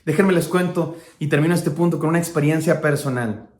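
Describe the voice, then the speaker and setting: A man's voice: on the high side, brisk, darkish tone. A man from Mexico with a Mexican Spanish accent, preaching an emphatic sermon as pastor. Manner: emphatic preaching, acts out quoted speakers. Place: church hall. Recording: echoey church hall.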